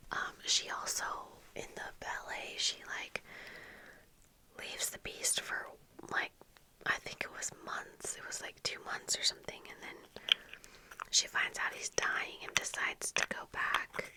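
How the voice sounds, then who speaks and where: Female ASMR creator, whispered and unhurried, crisp bright hiss to the whisper, casual, halting get-ready chat. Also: rough recording. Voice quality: breathy unvoiced whisper. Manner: casual, halting, meandering storytelling. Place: small indoor room with bookshelves. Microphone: close furry-covered microphone on desk, earphones in.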